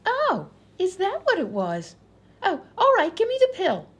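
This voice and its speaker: Very high voice, swooping delivery, scatterbrained folksy chatterbox, radio comedy patient, rambling. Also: female voice, wildly swooping pitch, measured pace, full character voice. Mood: whiny. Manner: rambling, folksy ungrammatical speech. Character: chattering dim-witted vaudeville patient Ruby, nonsensically rambling. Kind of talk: old-time radio comedy acting.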